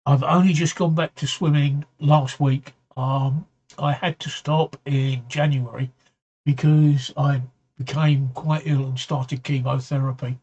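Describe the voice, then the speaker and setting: A man hosting a casual livestream chat, his voice going hoarse, speaking haltingly, repeating words and often clearing his throat. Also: medium pitch, steady tone, a little mellow, muffled recording. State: voice getting hoarse. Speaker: livestream talk show host. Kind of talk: casual livestream chat answering viewer comments.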